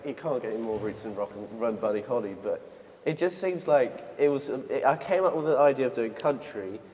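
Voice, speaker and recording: A man's voice: medium pitch, expressive, fairly quick, a little mellow, a little hiss or hum. A man who is a band guest in an interview, speaking loosely and casually, with some grammar slips. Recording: studio with long reverb.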